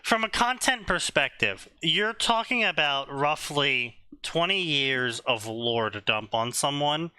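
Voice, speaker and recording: A man co-hosting a casual stream, talking slowly and deliberately, often checking "right?". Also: a little high, animated, sharp tone, smooth. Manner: explanatory, slow and deliberate, asks "right?" often. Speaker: stream co-host.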